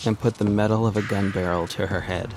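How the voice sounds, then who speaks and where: A man narrating a scripted audio drama as storyteller, measured and deliberate. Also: fairly low pitch, fairly even intonation, noisy background.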